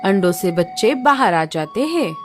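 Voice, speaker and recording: A woman's voice: mid-range voice, big pitch swings, normal speed, music in the background. A woman narrating a scripted children's story, recorded with noise behind.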